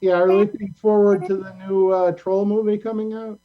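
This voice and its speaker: A woman's voice: medium pitch, flat delivery, brisk. A woman chatting casually as an interviewer on a discussion show. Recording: dull muffled audio with mains hum.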